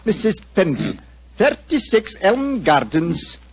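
An obliging doctor in a 1940s radio drama. His raspy, fairly high voice swoops widely as he speaks courteously. The vintage recording is dull. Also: huge pitch swings, slow, heavily acted. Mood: helpful.

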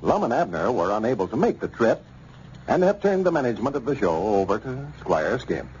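A man's voice: mid-range voice, big pitch swings, brisk, a little mellow. An American man announcing from a script in polished, emphatic 1930s radio style. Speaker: radio announcer and sponsor pitchman. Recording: muffled, noisy old radio recording.

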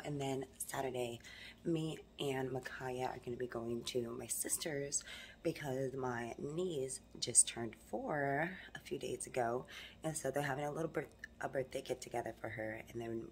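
A young woman with a low voice chats to her phone camera, hoarse and a bit raspy from rehearsals. She talks in an animated, emphatic way, with a faint hum of background noise. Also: normal speed. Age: young adult. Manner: animated, emphatic motivational talk. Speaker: vlogger. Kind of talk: casual vlog talk to camera. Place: home living room, then car. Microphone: phone camera held close.

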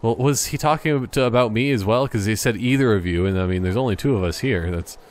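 A man giving casual gameplay commentary in a rambling, joking, halting way. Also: on the low side, darkish tone. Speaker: gaming commentator.

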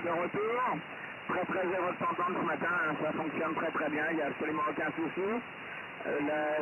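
A cheerful man chatting casually as an amateur radio operator over a radio link. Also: on the high side. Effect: single-sideband radio transmission. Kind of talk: casual ham radio contact with phonetic callsigns. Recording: very noisy satellite radio link.

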